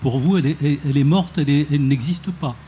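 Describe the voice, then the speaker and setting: A man in the audience asking a writer a measured, searching question, sometimes stumbling over his words. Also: on the high side, dark tone, slight background noise.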